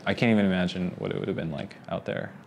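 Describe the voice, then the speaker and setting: A man's voice: sits low, fairly even intonation, fast talker, vocal fry, slight background noise. A man from California speaking earnestly in an interview. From California, USA. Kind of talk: interview commentary.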